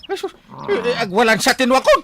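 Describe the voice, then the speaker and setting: Excitable village farmer, wildly swinging pitch. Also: male voice, fast, background noise. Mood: panicked, wailing. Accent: Ilocano. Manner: exclamatory, repetitive. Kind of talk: Filipino audio drama acting.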